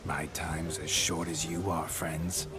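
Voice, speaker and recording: An actor in a video game playing a gruff monster hunter possessed by a boisterous ghost, speaking in a roguish, flowery way. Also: male voice, extremely low pitch, flat delivery, slow pace, over music. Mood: merry.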